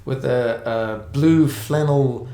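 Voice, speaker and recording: A man's voice: medium pitch, takes it slowly, dark tone, reverberant. A middle-aged man from South Africa with an Afrikaans accent, speaking English as a second language, talking hesitantly as an interview guest with many fillers and restarts. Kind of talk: conversational interview.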